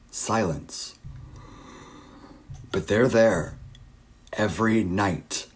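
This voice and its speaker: A haunted middle-aged father played by a man in an audio play, with a low voice and slow delivery. He is obsessive and distraught, halting and circling back over his words. There is clear noise behind him. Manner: haunted, halting, repeating himself. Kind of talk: audio drama monologue and dialogue.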